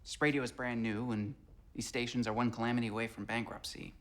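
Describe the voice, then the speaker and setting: An actor playing an eager, affable 1920s radio station employee who is flustered and coaxing and repeats himself. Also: male voice, mid-range voice, steady tone, fast, a bit bright, natural voice. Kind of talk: period TV drama acting.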